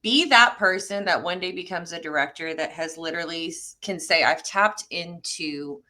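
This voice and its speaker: Woman, middle-aged, native French speaker, very low pitch, bright forceful tone, conversational interview guest. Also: normal speed. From France. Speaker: interview guest, parks and recreation director.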